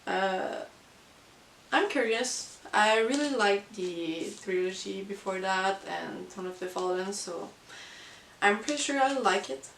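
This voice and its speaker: A woman who is not a native English speaker, chatting casually about books as a vlogger in a halting, hesitant way. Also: mid-range voice, lively intonation, a bit bright. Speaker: book vlogger. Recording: roomy, distant mic with hiss.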